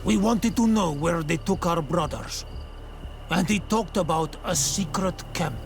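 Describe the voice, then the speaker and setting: An actor playing an earnest, cautious native islander in a video game, with simple, careful phrasing. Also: male voice, high-pitched, unhurried, gravelly, over music. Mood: earnest, urgent.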